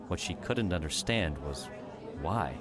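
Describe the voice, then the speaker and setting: A man with a low voice narrates an audiobook story from a script. Music plays clearly behind his reading. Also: lively intonation, measured pace.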